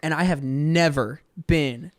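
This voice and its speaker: A man's voice: fairly high pitch, slow, no echo, studio quality. A man in his twenties with an American accent, telling stories conversationally and earnestly as a podcast guest. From Georgia, USA. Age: 20s. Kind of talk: casual conversational storytelling.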